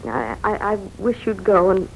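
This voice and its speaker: A woman's voice: mid-range voice, sing-song, normal speed, muffled recording, very noisy. An actress playing a principled career woman meeting an old love in a 1940s radio drama, on an old recording. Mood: torn, firm.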